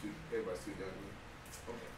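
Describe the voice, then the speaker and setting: A man's voice: low voice, flat delivery, fast, very noisy. A man leading a music workshop, casually coaching students and joking with them in a large wooden room. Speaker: workshop leader. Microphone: far room mic. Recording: echoey room from far off.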